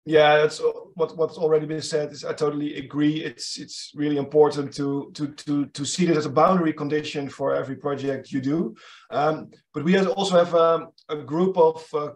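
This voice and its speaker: A man with a Dutch accent giving an unscripted panel answer over a video call, with halting starts. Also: fairly high pitch, fairly even intonation. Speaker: panelist, city climate official. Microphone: video call microphone.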